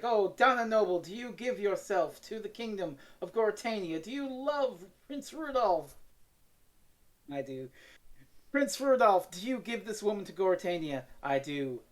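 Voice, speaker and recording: Animated, lower-pitched woman recapping. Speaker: podcast co-host reviewing an audio drama. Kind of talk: casual recap chat.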